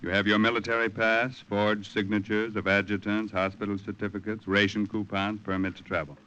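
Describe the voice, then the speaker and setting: Grave, low-voiced army colonel. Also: male voice, little pitch movement, bright, forceful tone, clear, resonant tone. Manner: measured, grave, military. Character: sober, authoritative American army colonel briefing an agent. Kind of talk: 1950s radio drama. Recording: dull vintage radio recording.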